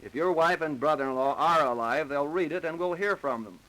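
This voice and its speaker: A man's voice: a little high, a little fast, a bit bright, close to an everyday voice, low-quality recording. An American man acting a dogged, sardonic police captain questioning a suspect in a 1930s radio drama. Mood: hard, insistent. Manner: sardonic, pressing, plain-spoken. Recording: vintage 1930s radio recording.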